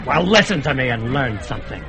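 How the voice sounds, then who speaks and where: A man's voice: medium pitch, big pitch swings, a little fast, vocal fry. An actor playing a fat, boastful male kidnapper in a radio drama, bellowing and jeering with frequent laughter. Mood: mocking.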